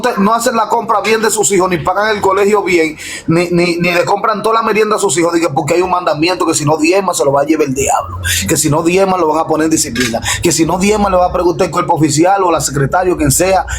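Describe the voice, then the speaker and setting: A man preaching informally as a pastor in a live discussion, emphatic and repetitive, over noisy live stream audio. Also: fairly high pitch.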